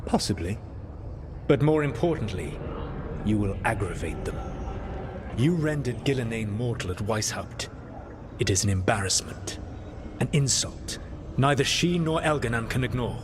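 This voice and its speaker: An actor playing an ancient elven rebel leader in a video game, a grave and calculating mentor who speaks in measured, formal counsel. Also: male voice, medium pitch, expressive, background noise, natural voice. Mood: calculating, warning. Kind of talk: dramatic video game dialogue.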